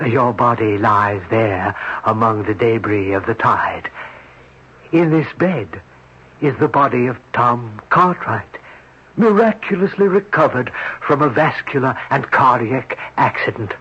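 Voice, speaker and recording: Unearthly wish-granting manservant, slow and husky, on an old radio play. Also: male voice, medium pitch, animated, a bit bright. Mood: sinister calm. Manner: slow, measured and formal. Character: otherworldly male servant granting wishes, calm. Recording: dull old radio broadcast.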